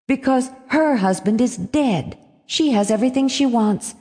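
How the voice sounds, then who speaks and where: A woman's voice: fairly high pitch, big pitch swings, measured pace, mellow, no echo, studio quality, pitched above the performer's own voice. A woman voicing a bitter, strained mother in a storybook reading, with an emphatic, wounded manner. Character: bitter, strained small-town mother, brittle and blurting.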